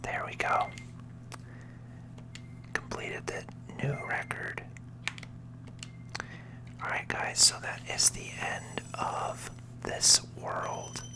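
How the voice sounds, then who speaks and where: An ASMR gaming host whispering soothing, encouraging commentary to viewers in a breathy voice, close to the microphone. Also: drawn-out words, pauses often, low-quality recording.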